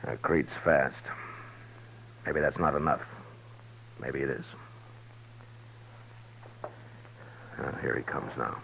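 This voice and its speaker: An actor playing a stoic, laconic frontier marshal in a 1950s radio western, plainspoken and resolute. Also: male voice, extremely low pitch, measured pace, creaky, fairly bright tone, heavily acted. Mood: grave, resolute. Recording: muffled noisy old radio recording.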